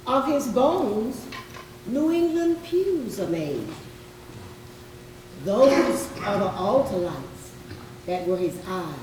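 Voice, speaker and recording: A woman playing a bitter, brooding poetic voice in a drawn-out, incantatory readers theatre recital, heard from afar in a very echoey, noisy hall. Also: mid-range voice, expressive. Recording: very echoey hall, distant mic, background noise.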